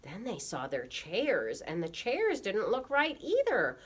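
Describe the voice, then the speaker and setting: A woman's voice: mid-range voice, huge pitch swings, slow, slightly rough. A woman preschool teacher speaking expressively to young children in simple, repetitive phrases, as in puppet storytelling.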